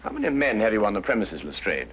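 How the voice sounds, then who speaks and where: An actor playing a courteous but commanding British detective with a precise, probing manner, in a 1950s mystery drama. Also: male voice, lowish voice, very animated, fast, slightly rough. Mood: courteous, purposeful. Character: keen, courteous, commanding male detective questioning suspects. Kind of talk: 1950s television mystery acting. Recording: dull, muffled 1950s soundtrack.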